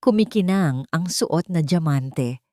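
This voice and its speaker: A woman's voice: sits low, expressive, slow, dark tone, no echo, clean studio sound. A woman with a Filipino accent narrating a dramatic scripted story. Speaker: narrator of love stories.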